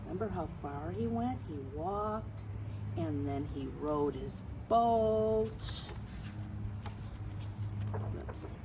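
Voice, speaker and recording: A woman's voice: on the low side, expressive, drawn-out words, long pauses. A woman hosting a children's storytime, reading a picture book expressively and adding questioning asides to young listeners. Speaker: storytime host. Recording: clearly noisy background.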